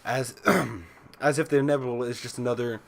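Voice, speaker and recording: A young man co-hosting a let's play, chatting casually and haltingly reading game text. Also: mid-range voice, gravelly. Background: background music.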